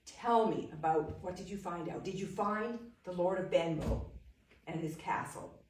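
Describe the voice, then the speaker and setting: A woman storyteller plays an impatient duke who repeats himself as he demands answers. The voice is low-pitched for her, slow, and heard from afar in a very roomy space. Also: a little mellow, recorded from a distance, a little hiss or hum, close to an everyday voice. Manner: impatient, insistent.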